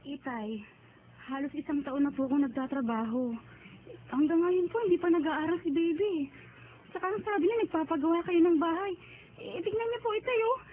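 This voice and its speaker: A woman's voice: extremely high pitch, brisk, a little hiss or hum. A woman acting in a radio drama as a grieving, defiant young migrant worker daughter, pleading respectfully but with reproach. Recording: tinny narrow-band radio drama recording.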